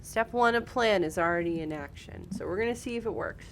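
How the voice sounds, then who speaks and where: A woman's voice: medium pitch, brisk, fairly bright tone, slight background noise. A woman giving casual, chatty live game commentary, talking informally to viewers and to the characters. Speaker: live streamer.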